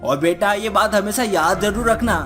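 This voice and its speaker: A woman's voice: medium pitch, normal speed, a bit bright, natural voice. A woman voicing a menacing, scolding witch mother in a cartoon story, with music behind her. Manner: gloating, scolding, threatening.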